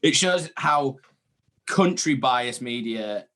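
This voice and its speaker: A man's voice: medium pitch, expressive, takes it slowly, a bit bright. Rambling man. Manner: rambling, restarts often, swears casually. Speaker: podcast co-host. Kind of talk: casual chat.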